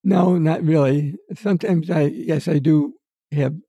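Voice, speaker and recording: An older man with a raspy voice, answering questions in a casual interview, soft-spoken and unhurried. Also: on the high side, steady tone, no echo. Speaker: interview guest, retired dentist.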